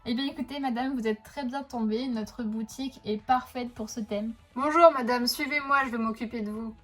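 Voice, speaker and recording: A woman's voice: on the high side, steady tone, normal speed, a bit bright, soft background music. A woman voicing a female boutique saleswoman in a toy-figure parody, with a polite salesperson tone. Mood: obliging.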